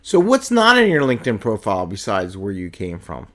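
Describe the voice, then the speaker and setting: Male host, lowish voice, friendly and prompting, loosely phrased questions. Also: huge pitch swings, a little fast, fairly bright tone. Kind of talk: casual interview chat.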